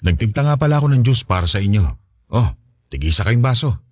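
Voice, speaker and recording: A man's voice: fairly low pitch, animated, fast talker, a little mellow, no room sound, studio quality. A man playing a doting, cheerful father in a Filipino radio drama, affectionate and easygoing.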